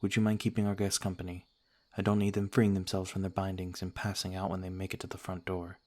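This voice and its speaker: Guy doing an old noble vampire lord for asmr roleplay, deep and pretty flat, formal, calm but in charge. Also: natural voice. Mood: stern composure. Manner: formal, measured, restrained. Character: ancient noble male vampire lord, commanding, calm, courteous. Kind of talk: ASMR roleplay audio drama.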